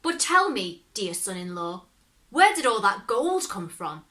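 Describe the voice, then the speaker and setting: A woman's voice: medium pitch, sing-song, measured pace, pushed and bright. A woman voicing a greedy fairy-tale king, eager for gold.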